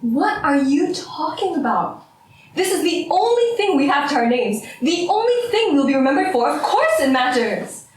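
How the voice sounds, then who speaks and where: A woman's voice: a little high, sing-song, measured pace, recorded from a distance. A woman playing a proud, combative mathematician, sardonic and theatrical, in stage-style dramatic dialogue. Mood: defiant. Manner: sardonic, argumentative, theatrical, sweary. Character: proud, combative woman mathematician, sore loser, bickering with rival. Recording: echoey room, off-mic, faint noise.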